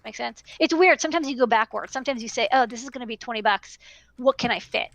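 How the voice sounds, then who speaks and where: A woman's voice: fairly high pitch, quick pace, a bit bright, no room sound. A woman in her thirties chatting casually on a livestream through a headset, informal and emphatic, repeating words for stress. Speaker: electronics business owner giving advice. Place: small indoor room.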